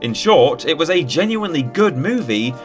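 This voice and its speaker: A man's voice: a little high, sing-song, moderate pace. A man presenting a scripted countdown list, with wry conversational asides. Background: background music.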